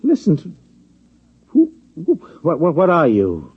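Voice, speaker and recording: An actor in an old radio drama playing a condescending, easily flustered lab security supervisor who stammers and repeats himself. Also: male voice, on the high side, wildly swooping pitch, unhurried, breathy edge, full character voice. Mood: bewildered, flustered. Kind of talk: 1950s radio drama acting. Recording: dull vintage radio broadcast recording.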